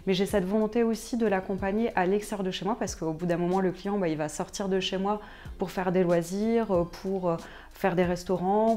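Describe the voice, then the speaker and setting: A woman's voice: medium pitch, warm tone. A woman giving an informal, explanatory interview as a local business owner. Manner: conversational, explanatory.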